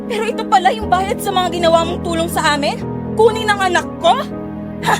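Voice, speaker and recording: Very high-voiced furious mother. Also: fairly quick. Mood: outraged. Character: furious, defiant mother fighting for her child. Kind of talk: melodramatic audio drama acting. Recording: voice under loud music.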